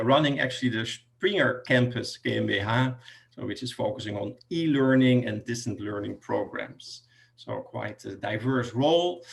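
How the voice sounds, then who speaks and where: A man's voice: medium pitch, vocal fry. A man moderating an online panel, introducing guests with frequent fillers. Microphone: video call.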